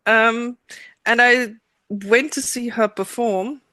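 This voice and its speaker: A woman's voice: a little high, steady tone, slow pace, sharp tone, no room sound. A middle-aged woman with a South African accent, telling her own story conversationally as an interview guest.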